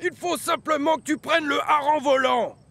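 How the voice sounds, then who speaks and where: A man's voice: very high voice, steady tone, normal speed, crowd noise. An actor voicing a cheerful, teasing male engine in a French cartoon dub. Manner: teasing, cheeky.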